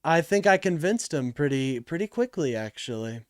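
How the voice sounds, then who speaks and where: A man's voice: a little high, lively intonation, normal speed, dry recording, clean studio sound. A man hosting a live political call-in stream in a casual, joking way, with slang and swearing as he talks to his chat.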